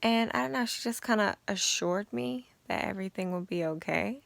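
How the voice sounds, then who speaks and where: A young woman vlogging about her beauty routine in a casual, chatty way, with a raspy voice and lots of fillers. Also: mid-range voice, normal speed, dry recording. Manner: chatty, relaxed and informal, with many fillers. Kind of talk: casual chat to camera.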